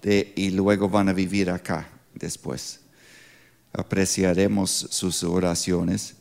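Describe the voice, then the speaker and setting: A man in his sixties with an American accent, a non-native speaker with English as first language, preaching informally as a missionary in halting speech with grammatical slips. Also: deep voice, level tone, gravelly, a little mellow. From Pittsburgh, United States. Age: 60s. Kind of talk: sermon with informal introduction.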